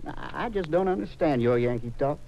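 An actor playing a courteous, slow-witted Southern gunman with a plaintive, folksy manner and a Southern accent in a 1950s radio drama. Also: on the high side, animated, gravelly. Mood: apologetic. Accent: American Southern. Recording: dull, noisy old radio recording.

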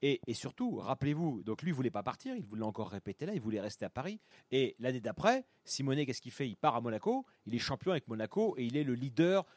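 Fast-talking male sports panelist. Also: mid-range voice, sing-song, a little mellow, dry recording. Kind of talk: casual chat.

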